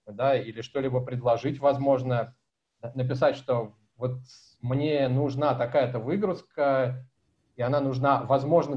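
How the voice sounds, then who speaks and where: A man in his forties answering questions at a tech meetup over a video call from a small room at home, talking casually and animatedly. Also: medium pitch. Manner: animated, gestures a lot, chatty and informal. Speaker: conference speaker answering questions. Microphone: laptop webcam mic on video call. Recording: slightly dull video call audio.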